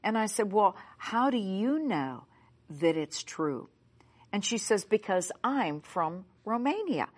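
A woman's voice: medium pitch, big pitch swings, slow. A middle-aged woman from the United States, a Bible teacher telling a story in a sermon. She speaks emphatically and acts out the dialogue.

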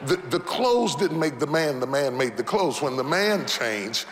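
A man in his fifties preaching an impassioned sermon in a church, repeating phrases and building to shouted peaks. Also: on the high side, sing-song, unhurried. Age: 50s. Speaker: pastor. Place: church sanctuary. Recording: long reverb of a large hall.

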